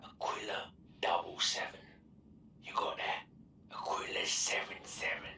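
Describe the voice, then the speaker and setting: An actor playing a watchful, weary scout in a video game, speaking in a breathy, hoarse whisper with terse, cagey phrasing. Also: male voice, a little slow, pushed and bright. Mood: guarded, instructing. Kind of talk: video game cutscene acting. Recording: roomy, distant from the mic.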